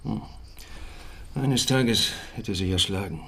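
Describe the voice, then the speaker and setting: A man's voice: fairly low pitch, slow, creaky, sharp tone, slight background noise, exaggerated voice. An actor playing an anxious, soothing father in a radio drama, speaking hesitantly and repeating words. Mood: matter-of-fact. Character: anxious, soothing young father hiding something. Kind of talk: naturalistic German radio drama acting.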